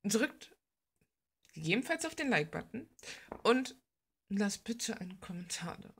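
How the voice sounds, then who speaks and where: A woman's voice: mid-range voice, very animated, normal speed, breathy edge. A woman chatting casually and bluntly in slangy, rambling fashion, like an informal art vlogger. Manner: informal, blunt, slangy, gushing about details. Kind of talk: casual rambling chat while drawing.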